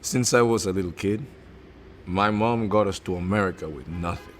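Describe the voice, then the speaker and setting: An actor playing a suave, gallant Cuban-born man in a radio drama, speaking gently and intimately as he teases and woos. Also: low voice, moderate pace, slight background noise, lightly acted. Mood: reminiscing. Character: suave Cuban-born man, successful performer, tender and teasing suitor. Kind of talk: comedic radio drama acting.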